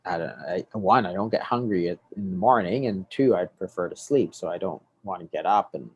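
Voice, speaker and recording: A middle-aged man, a doctor, explaining in a relaxed, conversational way from a home office over a video call, often restarting and checking in with "right?". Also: deep voice, brisk, breathy edge, dull audio. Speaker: guest doctor.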